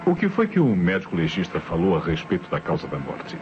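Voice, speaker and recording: An actor playing a shrewd, skeptical Brazilian police inspector in a radio mystery serial, questioning with brisk authority. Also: male voice, sits low, wildly swooping pitch, soft background music. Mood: shrewd, deliberating. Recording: muffled, noisy vintage radio drama.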